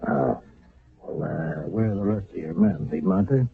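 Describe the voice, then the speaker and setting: Hesitant army captain, gravelly low voice, lo-fi radio sound. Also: male voice, a little slow, heavily acted. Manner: hesitant, with many uh fillers. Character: gruff army engineer captain, brisk. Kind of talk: 1970s radio drama acting. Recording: lo-fi old radio broadcast.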